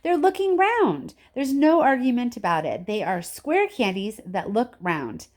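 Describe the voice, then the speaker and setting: A woman's voice: on the high side, big pitch swings, measured pace, a little mellow, pitched above the performer's own voice. A woman voicing an eccentric, impatient and boastful male candy-factory owner in an exclamatory storybook reading. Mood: excited. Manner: exclamatory, theatrical. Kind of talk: children's storybook character reading.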